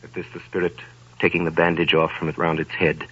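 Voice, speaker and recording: A man narrating a 1930s radio drama in a measured storytelling manner. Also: low voice, flat delivery, fairly bright tone, slightly rough. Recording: dull 1930s radio broadcast with music.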